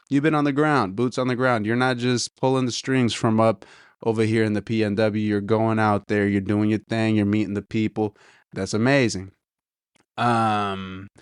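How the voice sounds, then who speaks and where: A man's voice: lowish voice, clean tone, no room sound, clean studio sound. A man hosting a podcast, chatting casually and rambling as he reviews a coffee, a little nasal, saying "dude" and "you know" often. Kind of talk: casual solo chat.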